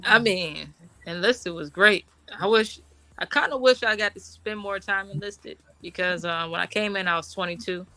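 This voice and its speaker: A middle-aged woman from Washington, D.C. talks with a bright, forceful tone as a guest in a casual interview. Her pitch sits in a middle range. She is relaxed and chatty, with plenty of fillers and easy laughter. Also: moderate pace, no echo. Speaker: guest, Army officer.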